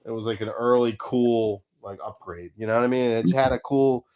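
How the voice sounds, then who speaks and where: Medium-pitched man in casual chat. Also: steady tone, fairly quick, darkish tone, poor audio. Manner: agreeable, slangy. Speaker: co-host reacting on a livestream.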